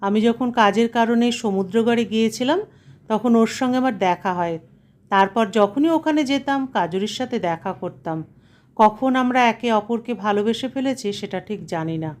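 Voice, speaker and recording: A woman's voice: a little high, moderate pace, a little mellow, close to an everyday voice. A woman voicing a cold, determined middle-aged husband in an audio story, firm and matter-of-fact.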